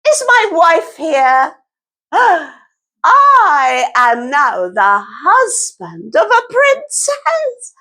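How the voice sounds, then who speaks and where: A woman voicing a small, polite male rat who is a bride's husband, in a slow, deep, apologetic voice, telling a story to children. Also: huge pitch swings, pushed and bright, exaggerated voice. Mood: eager.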